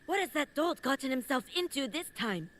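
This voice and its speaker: A woman's voice: sits high, very animated, a little fast, fairly bright tone. A woman playing an exasperated, scornful wife who scolds about her gambling husband in a video game cutscene. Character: angry, exasperated wife of a gambling watch commander.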